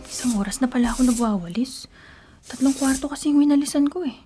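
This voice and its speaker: Woman acting a high-voiced, cheeky younger sister. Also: quick pace, dark tone, faint music behind, natural voice. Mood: casual. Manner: sassy, offhand, teasing. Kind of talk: radio drama acting.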